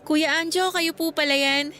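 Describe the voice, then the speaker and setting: A woman's voice: sits high, fairly even intonation, moderate pace, sharp tone, faint music behind. A woman playing a sulky, shy eighteen-year-old student girl with a Filipino accent in a radio drama, with a girlish, conversational delivery. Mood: shy, polite.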